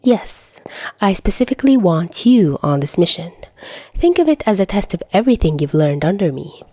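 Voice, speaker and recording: A woman voicing a stern, composed female boss who gives orders in a firm, deliberate way in an audio story. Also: fairly low pitch, sing-song, mellow, no room sound. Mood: firm, encouraging. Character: stern, composed female superior giving orders to her subordinate.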